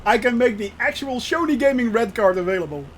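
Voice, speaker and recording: A man's voice: very high voice, animated, brisk, slight background noise. A Dutch man, native Dutch speaker, giving chatty live-stream commentary while flying a sim and answering his chat with frequent laughs. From Netherlands.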